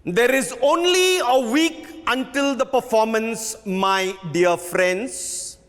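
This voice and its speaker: A man with an Indian accent playing a fiery, imperious theatre director in a declamatory stage reading, pausing dramatically and stressing key words. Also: extremely high pitch, fairly bright tone, faint music behind, full character voice. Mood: stern, outraged. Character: fiery, imperious male theatre director haranguing his actors. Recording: reverberant hall.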